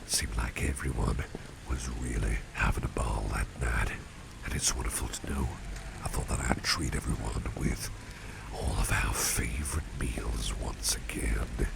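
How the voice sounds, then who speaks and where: A man playing a kindly, genteel boss in an ASMR roleplay, speaking in an unhurried, breathy half-whisper with a gravelly depth. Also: a bass voice, fairly even intonation, heavily acted. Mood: pleased, praising. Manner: genteel, unhurried, repeats phrases for emphasis. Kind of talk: ASMR roleplay, soft-spoken personal attention.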